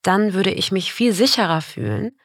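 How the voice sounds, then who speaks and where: A woman's voice: mid-range voice, sing-song, moderate pace, breathy edge, no room sound, clean studio sound. A woman reporter talking reflectively in a casual conversation, sometimes searching for words.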